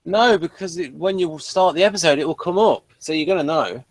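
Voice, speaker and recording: A man's voice: on the high side, brisk, no echo. A man chatting casually as co-host of an episode review.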